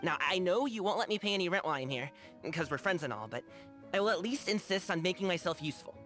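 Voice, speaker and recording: An upbeat young man from a video game, with very lively, swinging intonation. He talks casually and enthusiastically, like an easygoing buddy. Faint music plays underneath. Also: lowish voice, fast. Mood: earnest, friendly. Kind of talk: video game character dialogue.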